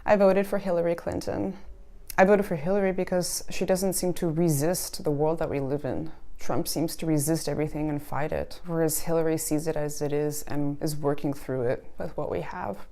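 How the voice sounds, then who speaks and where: A woman in her thirties with a low, steady voice, speaking in measured, reflective sentences. German was her first language, and a slight German cadence still colors her speech. She grew up in California and talks earnestly about her family. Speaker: interviewee. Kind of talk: personal testimony to camera.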